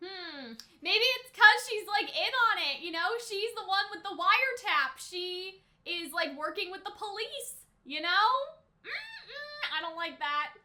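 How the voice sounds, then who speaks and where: A woman hosting a reaction video, commenting casually in a slangy, expressive, exclamatory way. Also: very high-pitched, measured pace.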